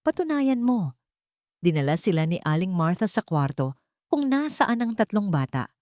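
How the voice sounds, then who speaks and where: A woman narrates a dramatic audiobook story from a script. Her voice sits on the low side and moves with lively intonation, and the recording is dry and close. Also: moderate pace, dry recording.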